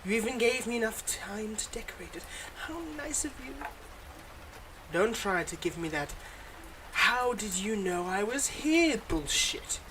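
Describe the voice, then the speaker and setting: Supervillain, acted by a performer for audio roleplay: smug, mocking, playfully exasperated, mid-pitched, with clear background noise. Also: expressive, a little slow, natural voice. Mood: smug, teasing. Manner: mocking, dramatic, addresses an unheard hero listener. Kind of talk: scripted ASMR audio roleplay.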